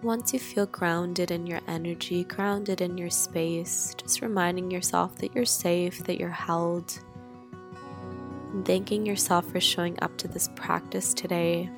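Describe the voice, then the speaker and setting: A woman leading a guided meditation in a soothing, gentle way, with drawn-out phrases and pauses. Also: lowish voice, steady tone. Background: guitar music.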